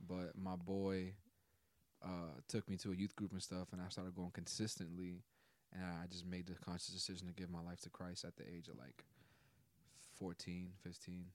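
A man's voice: deep voice, completely flat delivery, moderate pace, a little mellow, dry recording. A man in his twenties from Brooklyn, a guest DJ chatting casually on a podcast in a laid-back, slangy way. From Brooklyn, New York. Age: 20s.

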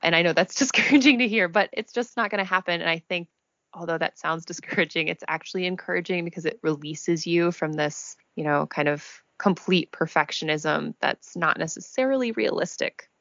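A woman hosting a relaxed discussion about learning to write in a second language, speaking in a thoughtful, measured way with light laughs. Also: on the low side, no echo, lo-fi sound. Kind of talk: conversational discussion.

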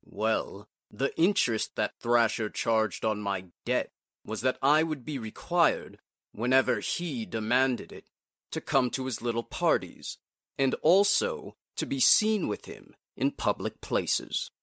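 Slow, haughty upper-crust gentleman, acted by a man. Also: mid-range voice, big pitch swings, no room sound, clean studio sound, heavily acted. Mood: guarded. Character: bitter, well-bred upper-crust gentleman in debt. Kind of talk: 1940s radio drama acting.

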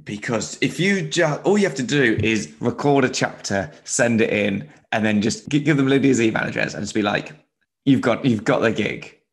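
A man's voice: mid-range voice, lively intonation, normal speed, creaky. A man in casual podcast chat, enthusiastic and encouraging as he listens.

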